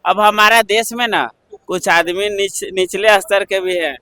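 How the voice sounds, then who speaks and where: A man's voice: sits high, a little slow, pushed and bright, dry recording. A man with a Bihari accent in a heated street debate, preachy and repetitive, pressing to be heard. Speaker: member of the public debating in a street interview. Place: outdoors on a city street in Delhi.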